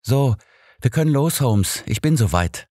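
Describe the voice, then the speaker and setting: A man's voice: medium pitch, animated, unhurried, warm tone, no room sound, studio quality, pitched above the performer's own voice. A man voicing a grumbling, sardonic elderly companion of a detective in an audiobook. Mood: resigned. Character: elderly male sidekick, grumbling, sardonic, long-suffering.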